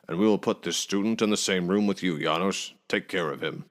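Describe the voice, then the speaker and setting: A man's voice: low-pitched, fairly quick, close to an everyday voice. A man voicing a self-important, eager-to-please innkeeper in a folk tale, emphatic and bossy with his servants. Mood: smug. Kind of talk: folk tale storybook reading.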